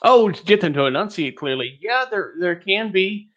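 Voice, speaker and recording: A man's voice: high-pitched, animated, normal speed, a bit bright, lo-fi sound. A man from Texas presenting an online teaching webinar in a rambling, joking, self-deprecating way.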